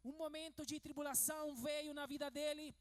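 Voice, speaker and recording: A man from Venezuela, preaching a sermon as pastor in a measured way that turns emphatic. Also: extremely high pitch, flat delivery, soft background music.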